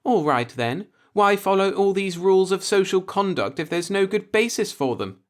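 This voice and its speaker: Man putting on a coy, high-pitched playful young woman. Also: sing-song, moderate pace. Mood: scornful.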